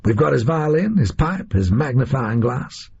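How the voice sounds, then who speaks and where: A blunt, sardonic gunman, put on by a man reading, drawling his words in slang with a gravelly, husky edge. His voice swings freely up and down, and the sound is muffled and lo-fi. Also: mid-range voice, big pitch swings, moderate pace, a little mellow, pitched above the performer's own voice, heavily acted. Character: tough American male gunman, blunt and sardonic. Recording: muffled lo-fi recording.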